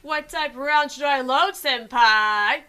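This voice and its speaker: Woman playing a high, teasing tank girl. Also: measured pace, bright, forceful tone. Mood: eager. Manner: teasing, playful. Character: cheeky, eager American girl tank.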